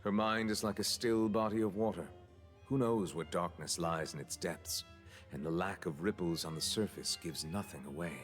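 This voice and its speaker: An actor playing a formal, dignified man in a game, speaking in a measured, deliberate way. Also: deep voice, big-room sound. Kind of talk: game voice acting.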